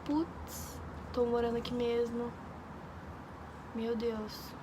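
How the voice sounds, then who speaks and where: A woman's voice: a little high, flat delivery, takes it slowly. A young woman from Brazil, an exchange student, telling a rambling story in casual chat with lots of fillers. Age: young adult. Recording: noisy background.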